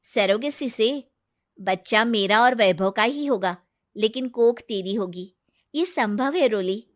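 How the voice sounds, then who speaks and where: A woman voicing a brisk, persuasive female friend in a cartoon story, speaking in a direct, assured way. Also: a little high, animated.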